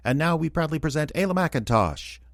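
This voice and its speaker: A man's voice: fairly high pitch, animated, a little fast, loud music behind the voice. A man announcing a radio drama in a scripted, old-time style, formal and theatrical.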